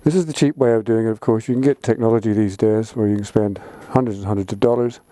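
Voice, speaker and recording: A man's voice: lowish voice, fairly even intonation, fast talker, warm tone, dry recording. A man giving a casual how-to explanation for a vlog in an informal, plain-spoken way. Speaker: vlogger.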